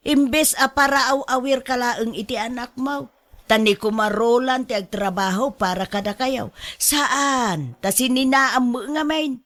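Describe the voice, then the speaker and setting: Slow-talking, fretful grandmother, acted by a woman. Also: a little high, fairly bright tone. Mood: scolding, worried. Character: older Filipino mother and grandmother, fretful, scolding, caring. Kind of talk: radio drama acting.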